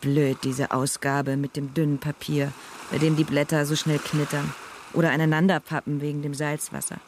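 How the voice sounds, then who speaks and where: A woman narrating a literary radio drama with deliberate, wry commentary. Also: sits low, little pitch movement, darkish tone, slight background noise.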